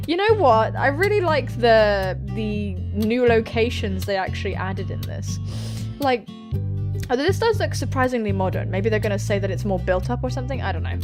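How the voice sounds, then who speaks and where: A woman hosting a let's play, joking bawdily and excitably as she reads visual novel text aloud. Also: fairly high pitch. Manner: bawdy, excitable, self-mocking joking. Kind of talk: playful gaming commentary while reading visual novel text aloud. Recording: music playing behind the voice.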